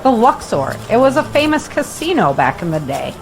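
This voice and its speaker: An actress playing a protective, practical mother in an audio drama, firm, brisk and reassuring. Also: fairly high pitch, big pitch swings, creaky, faint music behind.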